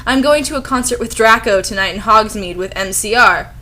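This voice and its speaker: A woman's voice: mid-range voice, fairly quick, pushed and bright, a little hiss or hum, close to an everyday voice. A woman voicing an overexcited, gushing teenage goth girl in an exaggerated comic dramatic reading of fanfiction. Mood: gushing excitement.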